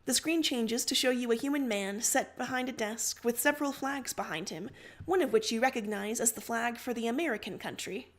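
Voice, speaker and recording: A woman reading fan fiction aloud on a livestream as an expressive narrator. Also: a little high, fairly quick. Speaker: livestream story reader.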